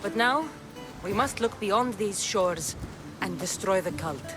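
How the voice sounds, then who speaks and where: A young Spartan warrior and seafarer for a video game. She is bold and defiant, speaking directly and with determination in a medium-pitched voice. Music is loud behind her. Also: lively intonation, takes it slowly. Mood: determined. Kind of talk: dramatic video game acting.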